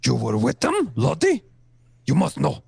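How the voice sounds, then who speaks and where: A man playing a mild, confused elderly father in an old-time radio crime play, hesitant, with a creaky, husky voice. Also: fairly high pitch, wildly swooping pitch, measured pace, a little mellow, no room sound, heavily acted. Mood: bewildered. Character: bewildered, mild elderly father of a grown daughter.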